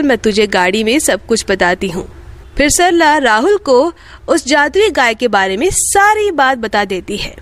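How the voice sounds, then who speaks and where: Slow, high-voiced woman storyteller. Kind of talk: scripted children's story narration.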